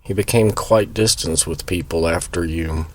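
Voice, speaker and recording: An actor playing an old family friend who is soft-spoken and paternal. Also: male voice, low-pitched, moderate pace. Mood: gentle. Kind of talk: audio drama acting.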